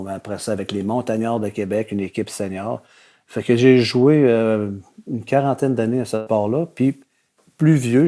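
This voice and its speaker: An older man with a Québécois accent answers a host's questions. His voice sits low and mellow. He talks easily, leaning on fillers and letting his sentences trail away. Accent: Québécois French. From Quebec City, Canada. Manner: relaxed, many fillers, trailing off at phrase ends. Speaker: guest historian. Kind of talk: conversational interview answers.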